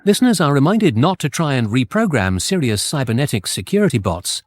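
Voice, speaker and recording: A man giving a scripted, formal, deadpan radio announcement. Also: medium pitch, brisk.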